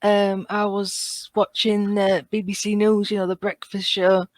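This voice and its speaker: Female podcast guest, medium pitch, informal conversational delivery, dry sound with no room echo. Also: flat delivery, unhurried. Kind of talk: casual chat.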